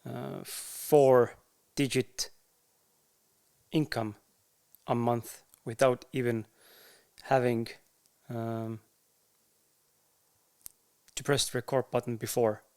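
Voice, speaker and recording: A man with an Estonian accent, a podcaster speaking to camera in a candid, unhurried way, with frequent pauses to find his words. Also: medium pitch, level tone, gravelly, a little mellow, clean tone. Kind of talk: casual talk to camera.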